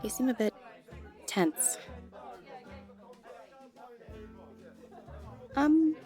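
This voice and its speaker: A woman's voice: sits high, lively intonation, slow, mellow, faint music behind, a faint crowd. A woman playing a friendly, flirtatious gallery worker in an intimate audio roleplay, soothing and teasing as she talks straight to the listener. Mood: gentle concern.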